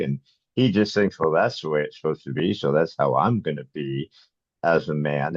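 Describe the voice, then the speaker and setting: A man's voice: deep voice, a little mellow. A man hosting a podcast in casual, folksy, jokey interview chat, on a slightly muffled recording. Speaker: podcast host.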